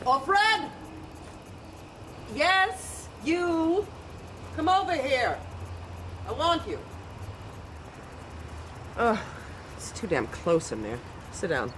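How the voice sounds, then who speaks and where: An actress playing an imperious, manipulative commander's wife in an audio drama, haughty and theatrical. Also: female voice, high-pitched, big pitch swings, very slow, long pauses, noisy background, heavily acted. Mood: impatient, summoning.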